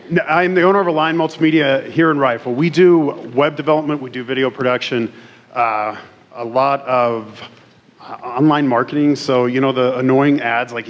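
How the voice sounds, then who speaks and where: A man in his forties from Colorado giving a casual, self-deprecating career talk to high school students, with many restarts. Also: highs cut off, a little hiss or hum. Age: 40s. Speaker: career expo speaker. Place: large school auditorium stage. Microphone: podium mic on stand.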